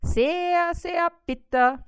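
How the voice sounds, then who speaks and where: Woman doing a high, shrill, whiny storybook fly, male, nagging and melodramatic. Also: extremely slow, dry recording, clean studio sound, full character voice. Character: whiny, demanding male fly caught in a web.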